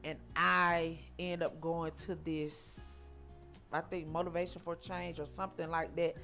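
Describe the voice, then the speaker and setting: A woman, from Georgia, telling her life story in an interview, halting and repeating herself with frequent ums. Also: on the low side, little pitch movement, bright, forceful tone, faint music behind. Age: 40s. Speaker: interview guest.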